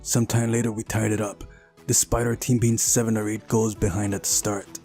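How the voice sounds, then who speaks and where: A man's voice: fairly low pitch, level tone, brisk, mellow, soft background music. A man reading a visual novel aloud during a playthrough, narrating the story and adding casual asides of his own.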